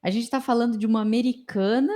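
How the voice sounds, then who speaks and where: A Brazilian woman with a Brazilian Portuguese accent hosts a podcast interview. Her voice is warm and mellow, and she talks in a chatty, meandering way with many fillers. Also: fairly high pitch, steady tone, quick pace. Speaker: podcast host.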